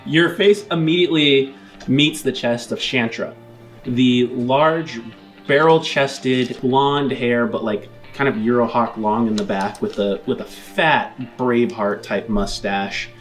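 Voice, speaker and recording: A man narrating an improvised tabletop roleplay as game master, with measured, formal, descriptive phrasing. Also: mid-range voice, faint music behind.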